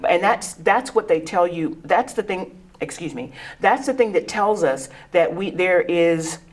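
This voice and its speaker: This is a middle-aged woman with a lowish voice, talking earnestly on a panel as an educator. She stumbles, repeats herself and restarts her phrases as she thinks aloud. Her laughter comes easily and she speaks in a conversational way. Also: a little slow.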